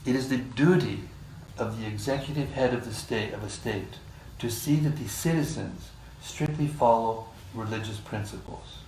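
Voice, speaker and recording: A man leading a scripture class, reading passages in a measured way and joking with the group between them. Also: mid-range voice, darkish tone. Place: room with a live group. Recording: echoey room, distant mic, faint hiss.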